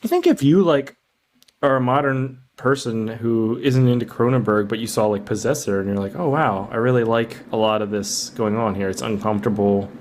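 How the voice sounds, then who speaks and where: A man's voice: mid-range voice, normal speed, a little mellow. A man guesting on a movie podcast, chatting casually with jokes and some swearing. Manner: joking, casually profane. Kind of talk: casual movie review chat.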